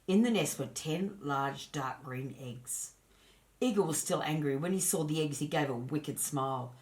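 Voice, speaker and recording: A woman storyteller narrating a scripted children's tale in an expressive, deliberate manner. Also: deep voice.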